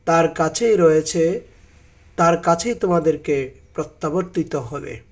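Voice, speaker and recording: A man reading out and explaining a translation of scripture as a religious narrator, deliberately and with some repeated phrases. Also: fairly high pitch.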